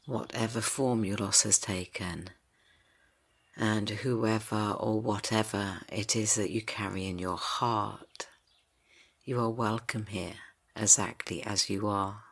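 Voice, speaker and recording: A man guiding a yoga nidra rest for grief, speaking slowly and softly, drifting into whispers. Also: lowish voice, steady tone, creaky. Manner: slow, soft and soothing, drifting into whispers. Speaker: meditation guide. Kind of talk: guided yoga nidra meditation.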